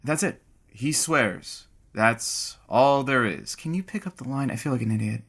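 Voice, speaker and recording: An actor playing an anxious, wry radio producer sidekick who speaks hesitantly and tries to placate. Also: male voice, mid-range voice, moderate pace, fairly bright tone. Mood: awkward, placating.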